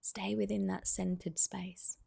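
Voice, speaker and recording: A woman guiding a meditation as a teacher, giving soothing, unhurried instructions with pauses. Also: mid-range voice, level tone, vocal fry, mellow, husky. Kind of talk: guided meditation.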